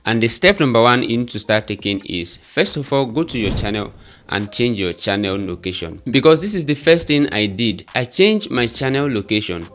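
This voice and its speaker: A man's voice: medium pitch, lively intonation, normal speed, fairly bright tone, a little hiss or hum. A man with a Nigerian accent, explaining steps in an earnest, repetitive tutorial manner. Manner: earnest, repetitive step-by-step instruction. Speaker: YouTube growth tutor. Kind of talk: tutorial talking to camera.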